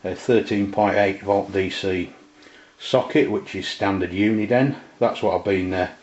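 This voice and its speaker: A British man with a low voice talks through a piece of kit in a casual, halting, unscripted way. He sounds like a hobbyist presenting from behind his own camera. The sound is roomy and somewhat dull, picked up indoors by the camera's microphone. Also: steady tone, darkish tone. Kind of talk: casual product walkthrough. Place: indoors in a domestic kitchen. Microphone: camera mic, behind the camera.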